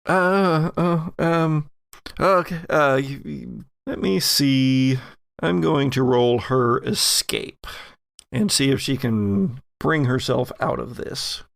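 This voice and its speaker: A man with a creaky, gravelly edge to his voice runs a horror tabletop game as game master. He narrates deliberately, pausing and doubling back on words, in a dry, close sound with little room to it. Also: mid-range voice, dry recording.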